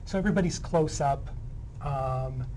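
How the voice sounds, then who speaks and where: A man's voice: medium pitch, moderate pace, dark tone, slight background noise. A middle-aged man chatting casually in an interview, hesitant and often repeating his words. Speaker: playwright and actor discussing a play.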